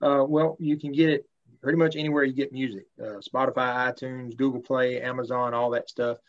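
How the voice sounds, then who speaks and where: A man, a country singer talking casually in an interview in a relaxed, polite way with many fillers. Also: mid-range voice, fairly quick. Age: 30s. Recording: slightly dull lo-fi sound.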